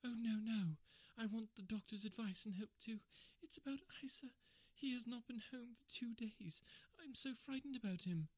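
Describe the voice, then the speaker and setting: A man's voice: very high-pitched, moderate pace, few pauses, darkish tone, no echo, voice pushed up. A man voicing a timid, distraught young woman who pleads tearfully for help in a storybook reading. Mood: frightened.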